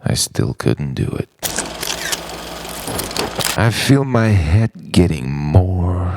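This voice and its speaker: A man delivering an introspective spoken-word monologue in short, halting, dramatic phrases. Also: low-pitched, big pitch swings, gravelly, heavy background noise. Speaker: narrator of own written reflection.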